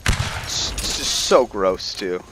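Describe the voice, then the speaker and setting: Deep-voiced man doing casual game commentary. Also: expressive, slow pace, noisy background. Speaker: gaming video host.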